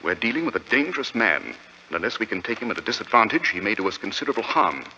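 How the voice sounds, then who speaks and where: A cool-headed British detective in a 1940s radio mystery. His delivery is crisp, authoritative and sardonic, with hugely animated pitch. The voice cuts forcefully through a dull, noisy old broadcast recording. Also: fast, in a large hall, heavily acted. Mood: tense, commanding. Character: commanding, sharp-witted male London detective, sardonic and cool-headed. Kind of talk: 1940s radio drama acting.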